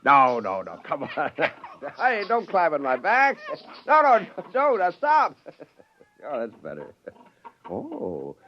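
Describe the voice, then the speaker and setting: Casting: middle-aged American scientist, eccentric and theatrical, highly animated delivery, dull vintage radio sound. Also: male voice, takes it slowly, a little hiss or hum, full character voice. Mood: delighted, coaxing. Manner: theatrical, chuckling, self-amused. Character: eccentric, gleeful scientist plotting a joke on mankind. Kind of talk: 1950s radio drama acting with first-person narration. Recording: dull, lo-fi 1950s radio recording.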